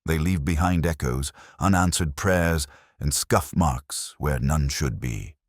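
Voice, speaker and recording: A man voicing an ageing, melancholy detective, narrating a literary radio drama in a measured, reflective, solemn manner. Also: rumbling low, dry recording, clean studio sound, heavily acted. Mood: brooding, reflective. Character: ageing, melancholy male detective, wry and brooding.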